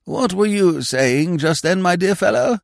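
A man's voice: high-pitched, animated, measured pace, creaky, dry recording, clean studio sound, pitched above the performer's own voice, full character voice. A man voicing a vague, flustered elderly earl who blurts startled exclamations. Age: older.

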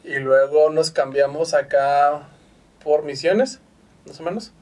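A man's voice: fairly high pitch, takes it slowly, a little mellow. A man in his thirties from Chihuahua with a northern Mexican accent, a podcast guest chatting casually in a slangy, laid-back way with free swearing. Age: 30s. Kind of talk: casual chat.

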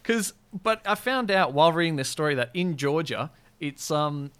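An Australian man with a fairly high voice chats casually as a podcast co-host. He rambles through stories with a wry, joking touch. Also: expressive, moderate pace, a bit bright, clean tone. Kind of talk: casual comedic chat and storytelling.

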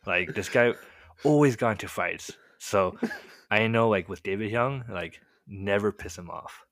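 Deep-voiced young man telling stories casually. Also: a little slow, dry recording. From United States. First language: Korean. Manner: relaxed, rambling, heavy use of "like" and "um" fillers. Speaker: podcast guest telling his life story. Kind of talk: casual storytelling chat.

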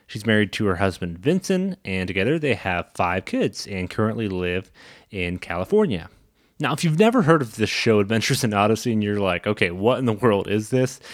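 A man's voice: fairly low pitch, no echo, studio quality. A man hosting a podcast in a casual, chatty, informal style. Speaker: podcast host. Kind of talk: casual podcast introduction and interviewing.

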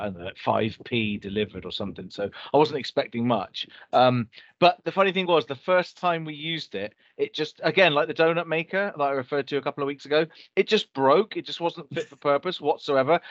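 A man's voice: mid-range voice, fairly bright tone, dry recording, dull audio. A man co-hosting a podcast, telling a story in rambling, self-correcting casual chat. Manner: rambling storyteller, many restarts and fillers. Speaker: podcast co-host.

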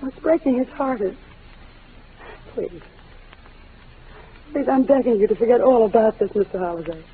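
A woman's voice: fairly high pitch, expressive, moderate pace, natural voice. An actress playing a poised, defensive young woman in a 1940s radio melodrama, genteel and theatrical. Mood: pleading, distraught. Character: poised, defensive young woman shielding her guilty father. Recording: tinny, noisy old radio broadcast.

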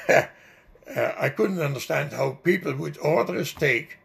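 A man's voice: a little high, unhurried, vocal fry, a bit bright. An older man, not a native English speaker, recalling a personal story in a rambling oral history interview. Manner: rambling, vivid recollection with fillers.

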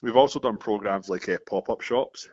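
A Scottish man in his thirties, a company founder talking casually as a guest in an interview, explaining things in a steady flow and often restarting phrases. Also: fairly low pitch, moderate pace, slightly rough, no echo. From Scotland. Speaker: founder of a marketing agency, guest. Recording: dull muffled dry recording.

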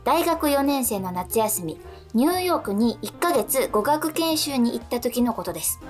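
A woman's voice: on the high side, expressive, normal speed, faint music behind. A woman co-hosting a radio show, chatting lightly and reading listener letters aloud.

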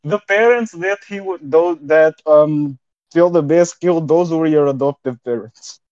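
A man co-hosting a comedy podcast, delivering rambling mock-solemn speeches in a deadpan way. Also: fairly high pitch, measured pace, no room sound, highs cut off. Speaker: podcast co-host. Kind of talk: improvised comedy banter.

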